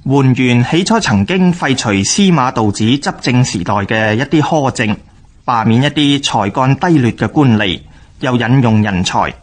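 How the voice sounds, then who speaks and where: A man with a Hong Kong Cantonese accent reading scripted history narration for a radio drama. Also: medium pitch.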